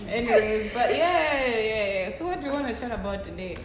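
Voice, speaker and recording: High-voiced young Malawian woman, noisy echoey room. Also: animated, a little fast. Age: young adult. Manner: emphatic, slangy. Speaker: guest friend on podcast. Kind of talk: casual tipsy chat. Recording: echoey room with clear background noise.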